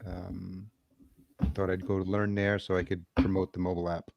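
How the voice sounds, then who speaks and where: A man speaks in a low, even monotone over a video call. He talks as an interview guest in an unhurried, measured way, pausing on ums and restarting his sentences. The sound is dry and close. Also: gravelly, no echo, muffled recording. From El Salvador, raised in Yonkers, New York. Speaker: podcast producer, guest. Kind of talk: casual interview chat. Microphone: remote video call.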